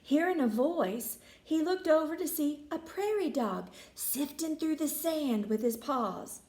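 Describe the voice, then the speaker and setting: A woman reading a children's picture book aloud with expressive, folksy inflection. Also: high voice, a little slow, echoey room. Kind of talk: animated children's story reading.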